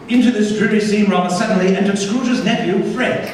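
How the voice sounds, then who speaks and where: Guy narrating a story dramatically, slightly high voice, big reverb, bit far from mic. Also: fairly quick. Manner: dramatic, emphatic delivery. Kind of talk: theatrical storytelling, scripted narration. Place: live theatre stage. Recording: echoey hall, distant mic, faint noise.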